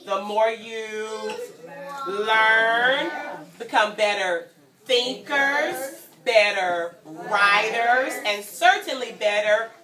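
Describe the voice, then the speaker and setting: Animated, high-voiced woman reading to children. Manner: animated, teacherly, prompting children to answer and repeat. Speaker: guest story-time reader for young children. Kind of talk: interactive children's read-aloud, with sung refrains. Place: indoor room at a childcare centre. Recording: echoey room, distant mic, children around.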